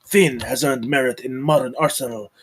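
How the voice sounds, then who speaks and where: A commanding, courtly count acted by a man in a dramatic table read. His voice is gravelly and husky, and he speaks with formal, measured solemnity. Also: medium pitch, fairly even intonation.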